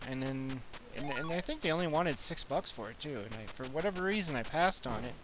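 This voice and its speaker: A man's voice: a little high, a little fast, noisy background. A Canadian man with a Canadian accent, hosting a retro gaming stream in casual, rambling chat. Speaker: retro gaming channel host.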